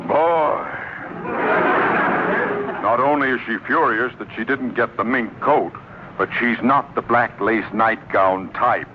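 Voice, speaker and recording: An actor playing an impatient, blustering older American boss in an old radio sitcom, bossy, emphatic and sardonic. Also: male voice, medium pitch, takes it slowly, long pauses, lightly acted. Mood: urgent, irked. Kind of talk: 1940s-50s radio comedy acting. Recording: dull, noisy old radio broadcast recording.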